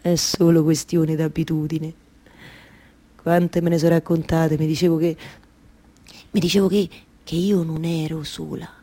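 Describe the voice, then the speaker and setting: An actress playing a stubbornly hopeful waiting wife in a theatrical monologue, with a colloquial Roman accent and insistent repeated phrases. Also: female voice, deep voice, flat delivery, measured pace, long pauses, warm tone. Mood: wistful, resigned. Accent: central Italian, Roman. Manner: incantatory, repeating phrases over and over.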